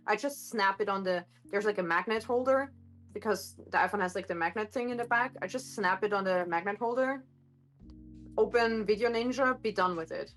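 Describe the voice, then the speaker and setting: A woman streamer talks at a middle pitch in a loose, rambling way, stopping to correct herself and filling gaps constantly. Her voice sounds a bit gruff today. She is recorded on a phone in a kitchen with hard countertops, and faint music plays behind her. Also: fairly bright tone. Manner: rambling, many fillers and self-corrections. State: slightly gruff voice. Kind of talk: casual live-stream chat. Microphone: phone microphone.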